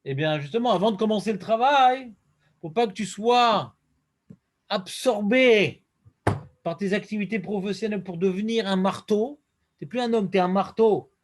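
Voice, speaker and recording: Rabbi, man, high voice, lively questioning teacher, quotes. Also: moderate pace. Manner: lively, questioning, quotes Hebrew verses in a chant-like cadence. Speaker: rabbi teaching a Talmud class. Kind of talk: Talmud lesson.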